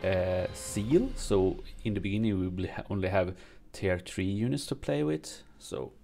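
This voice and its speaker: A man with a low, gravelly voice reads game update notes and adds his own comments in English, which is not his first language. He talks in a halting way, full of fillers and restarts. Faint music plays behind him in his home streaming room. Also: expressive, mellow. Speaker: gaming streamer. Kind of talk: reading and commenting on game news.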